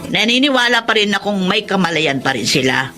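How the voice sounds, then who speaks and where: A woman's voice: medium pitch, sing-song, fairly quick, music in the background, close to an everyday voice. An actress with a Filipino accent playing a caring, resigned elderly grandmother in a radio drama.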